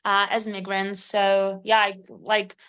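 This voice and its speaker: A woman from Spain with a Spanish accent, a native Spanish speaker in her thirties, talking as a podcast guest in a direct, expressive way with hesitant fillers. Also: mid-range voice, slow pace, clear, resonant tone. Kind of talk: casual interview conversation.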